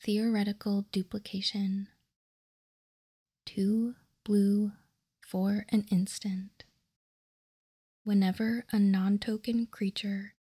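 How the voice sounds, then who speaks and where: Woman, ASMR whisper, breathy, mellow, calm, deliberate, close dry mic. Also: mid-range voice, no echo. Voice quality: soft, breathy unvoiced whisper. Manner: slow, deliberate, calm reading. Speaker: ASMR video host. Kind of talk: whispered ASMR, reading out trading cards. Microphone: close microphone, dry sound.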